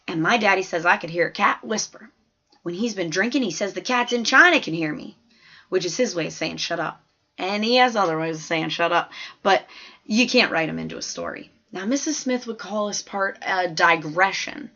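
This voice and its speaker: A woman's voice: lowish voice, normal speed, bright, forceful tone. A woman voicing a plain-spoken, observant fourth-grade girl who tells her own story in a chatty, folksy, emphatic way. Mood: chatty, matter-of-fact. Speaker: audiobook narrator. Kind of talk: scripted first-person story narration. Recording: slightly dull, lo-fi audio.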